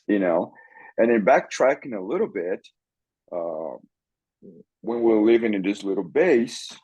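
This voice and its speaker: A man from Brazil with a Brazilian Portuguese accent, a podcast guest telling his story in a relaxed, easygoing way. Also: on the low side, slightly rough, dry recording, low-quality recording. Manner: relaxed, chuckles often, repeats words as he thinks. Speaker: farrier school director, guest. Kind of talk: casual interview storytelling.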